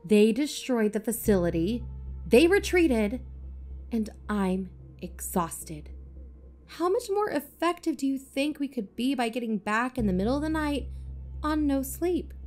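A woman's voice: a little high, very animated, measured pace, darkish tone, faint music behind. A woman playing a pragmatic, blunt female investigator in an audio drama, matter-of-fact in her delivery. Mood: exhausted, firm. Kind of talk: fiction podcast audio drama acting.

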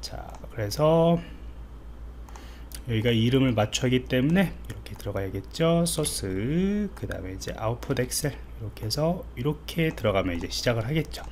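A man's voice: medium pitch, very animated, moderate pace, a little mellow. A man giving an informal step-by-step coding tutorial as an IT instructor, thinking aloud as he types. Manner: informal explanatory, thinking aloud while typing. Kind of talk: screen-recorded coding tutorial, explained step by step. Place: indoors, voice-over on a screen recording. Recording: clearly audible background noise.

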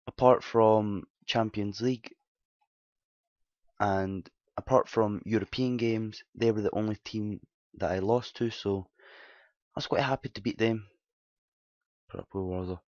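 A slightly hoarse man with a lowish voice rambles casually through his season's results, often correcting himself mid-sentence. The recording is dull and low-fi, with a dry, close sound. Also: steady tone, measured pace, pauses often, darkish tone, dry recording. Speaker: game commentator. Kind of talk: casual gameplay commentary. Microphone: desktop mic at home, quiet.

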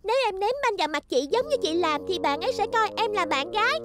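A woman's voice: extremely high pitch, animated, fast talker, over loud music. A woman voicing a cute, proud and sulky little sister in a cartoon dub, with a southern Vietnamese accent. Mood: cool, proud. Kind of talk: animated cartoon dub acting.

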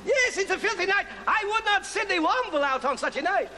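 A man's voice: very high voice, expressive, sharp tone, big-room sound, a little hiss or hum, full character voice. A man playing a roguish French fur-trapper villain with a put-on French accent, in broad, theatrical stage comedy. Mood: sly, theatrical. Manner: theatrical, slow, drawn-out delivery. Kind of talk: broad comedy sketch acting before an audience.

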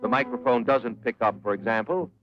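A man's voice: medium pitch, animated, brisk. A man with an American accent giving scripted narration in the style of a 1940s promotional film. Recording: dull vintage 1940s film soundtrack, music behind. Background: loud background music.

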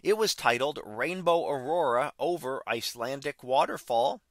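A man's voice: mid-range voice, normal speed, no echo. A man hosting a podcast, patiently explaining the sky in an image with a few fillers and restarts, voice-over on a close mic. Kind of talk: unscripted explanatory commentary.

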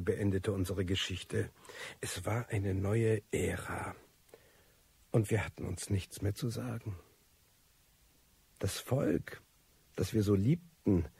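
An actor playing a disillusioned middle-aged former sixties revolutionary, recounting his life in a reflective, weary, deliberate radio drama monologue. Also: fairly low pitch, darkish tone, full character voice. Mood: bitter resignation. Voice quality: husky, gravelly.

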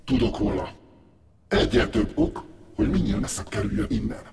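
Guy doing a tired bossy tribe leader, deepish, short orders, noisy crowd, audio drama. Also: lively intonation, natural voice. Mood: curt, weary. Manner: terse, commanding.

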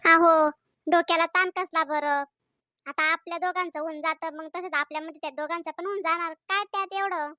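A woman voicing a young married housewife in a comedy cartoon, chatty and quick to scold her husband. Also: very high-pitched, steady tone, pushed and bright, no echo, studio quality. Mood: arguing. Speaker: wife.

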